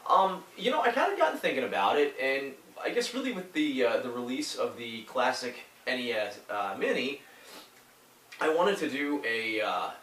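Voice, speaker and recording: A man's voice: medium pitch, big pitch swings, moderate pace, a bit bright, recorded from a distance. Stuffy-nosed man rambling in an echoey room. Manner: rambling, full of fillers and restarts. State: stuffy from a head cold. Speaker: YouTuber reviewing retro games. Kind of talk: casual talk to camera. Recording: echoey room, a little off mic.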